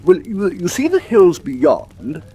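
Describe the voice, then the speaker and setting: Animated, high-pitched eager naturalist. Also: male voice, slow pace, heavily acted. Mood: enthusiastic. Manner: polite, hesitant, wordy. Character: eager, talkative naturalist man living on the moor. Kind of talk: classic radio drama acting.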